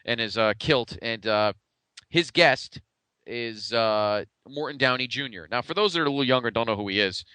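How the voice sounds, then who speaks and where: A man with a bright, smooth voice at a middling pitch, chatting casually as a podcast co-host. His delivery is blunt and the sound is dry and close. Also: normal speed, dry recording. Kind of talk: casual pro wrestling retrospective chat.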